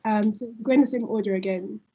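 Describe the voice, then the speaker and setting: A woman's voice: mid-range voice, quick pace, mellow. A young woman hosting a casual group chat among students, talking chattily with many fillers. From Nigeria. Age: young adult. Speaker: student host. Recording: dull lo-fi audio.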